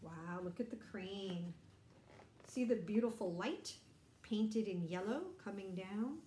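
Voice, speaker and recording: A middle-aged woman reading a picture book aloud to children, explaining and asking simple questions in an animated way. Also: mid-range voice, slow, roomy sound, slight background noise. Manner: animated, explanatory, addressing young listeners. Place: bright indoor room.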